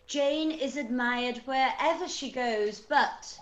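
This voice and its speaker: A woman's voice: high voice, takes it slowly, echoey room. A woman playing a fluttery middle-aged mother in a period radio play, gushing and coy, eager to please.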